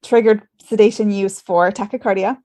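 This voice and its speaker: A woman's voice: medium pitch, fairly even intonation, normal speed, warm tone. Earnest woman in podcast conversation. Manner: earnest, emphatic, rhetorical questioning. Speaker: podcast host and former travel nurse. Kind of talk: conversational medical podcast discussion.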